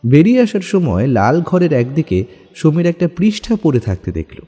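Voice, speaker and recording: A male narrator tells a detective story with an animated, dramatic delivery. His mellow voice carries a long reverb, and music plays faintly underneath. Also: on the high side, moderate pace, slightly rough.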